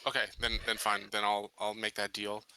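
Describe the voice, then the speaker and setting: A man's voice: on the low side, completely flat delivery, moderate pace, pushed and bright, smooth, no echo. A man playing a tabletop game, casually talking through his character's orders and plans. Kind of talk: casual in-character planning and chat.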